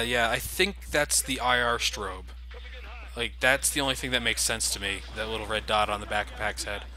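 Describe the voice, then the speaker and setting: Bright-voiced joking game commentator. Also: male voice, mid-range voice, low-quality recording. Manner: wry, joking, critical of the game. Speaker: let's play host. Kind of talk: casual gameplay commentary.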